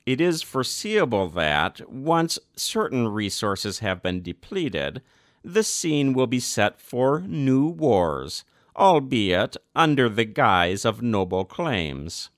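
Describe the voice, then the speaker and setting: Man reading aloud with lively, swinging intonation. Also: mid-range voice, takes it slowly, no echo, clean studio sound. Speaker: volunteer audiobook reader. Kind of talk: scripted narration, reading a formal document aloud.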